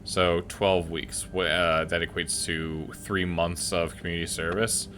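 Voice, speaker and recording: A man's voice: deep voice, flat delivery, a little slow, fairly bright tone, soft background music. A man hosting a gaming video, commenting casually and muttering reactions to himself as he plays. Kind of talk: casual let's play commentary, reading game text aloud.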